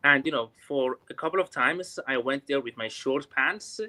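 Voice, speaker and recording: A man from Iran with an Iranian accent, calling in to practise English and often pausing on "you know". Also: medium pitch, pushed and bright. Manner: hesitant, many "you know" fillers. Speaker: learner calling in. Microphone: remote call audio.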